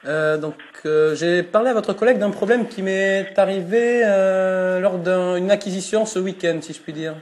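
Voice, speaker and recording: A man with a French accent making a prank phone call, mock-formal and deadpan, fighting back laughter. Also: sits high, moderate pace, few pauses, slight background noise. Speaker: prank caller.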